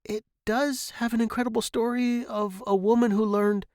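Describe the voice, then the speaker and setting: Man as a nervous young son, raised pitch, meek, hesitant, bookish, storybook dialogue, dry sound. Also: flat delivery, normal speed, a little mellow, smooth, dry recording. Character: timid, bookish teenage boy, nervous son. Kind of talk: acted audiobook dialogue.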